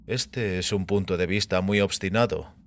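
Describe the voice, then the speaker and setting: A man voicing a mysterious, self-assured skeptic with a measured, formal and mocking manner. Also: sits low. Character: mysterious male guest, self-assured, scornful skeptic of faith. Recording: background music under voice.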